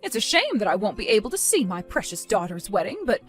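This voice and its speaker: A woman's voice: mid-range voice, very animated, fast talker, soft background music, close to an everyday voice. Woman playing a stern, disappointed older mother. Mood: pointed, sarcastic. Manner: reproachful, scolding. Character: stern disappointed mother nearing retirement, fed up. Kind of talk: scripted melodramatic story dialogue.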